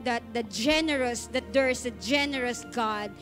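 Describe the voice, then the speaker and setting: A woman's voice: on the high side, moderate pace, music in the background. A young woman with a Filipino accent giving an earnest devotional talk and prayer to a congregation on a handheld microphone. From Philippines. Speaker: church speaker leading offering. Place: indoor church stage.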